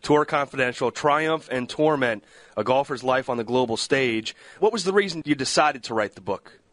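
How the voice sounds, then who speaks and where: Male radio host asking measured questions. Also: mid-range voice, lively intonation, fairly bright tone, dry recording. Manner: measured questions, slows for book titles. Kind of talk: radio interview hosting.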